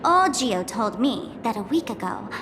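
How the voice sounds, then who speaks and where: A woman's voice: fairly high pitch, sing-song, unhurried, in a large hall, noisy background. A woman voicing a playful young trickster hostess in a video game, with a theatrical, teasing manner. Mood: playful, confiding. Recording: background music behind the voice.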